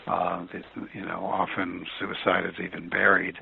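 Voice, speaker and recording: A man with a very low, gravelly voice talks calmly and in a measured way as a guest on a radio interview. He pauses with fillers and restarts his sentences. His voice comes through a tinny phone line with faint hiss behind it. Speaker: author and guest.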